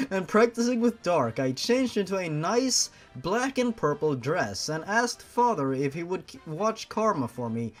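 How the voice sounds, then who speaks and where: A young man reading a fan-fiction story aloud, breaking off for sarcastic, profane commentary. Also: on the high side. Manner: sarcastic, swears freely, breaks off to mock the text.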